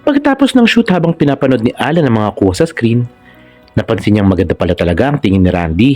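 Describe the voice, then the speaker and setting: Filipino man narrating with lively pitch. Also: quick pace, faint music behind. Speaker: narrator and channel host. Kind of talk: scripted romantic story narration.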